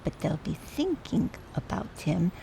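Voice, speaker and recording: A woman's voice: fairly low pitch, very animated, unhurried, vocal fry, dark tone, slight background noise, exaggerated voice, poor audio. A woman playing a fond, opinionated, wry older aunt in mourning, in radio play dialogue. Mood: wry, nostalgic.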